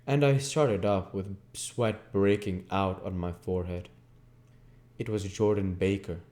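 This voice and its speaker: A man with a low, soft-edged and mellow voice reads literary fiction aloud. He narrates it in audiobook style, in a dark, relaxed tone. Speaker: reader of a video book series. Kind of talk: audiobook-style reading of a classic novel.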